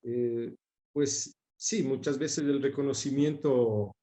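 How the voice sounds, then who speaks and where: A man in his sixties with a Quito, Ecuadorian accent, talking reflectively as an interview guest, measured, pausing and repeating words as he searches for them. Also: mid-range voice, steady tone, warm tone. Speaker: interview guest recalling his father's career.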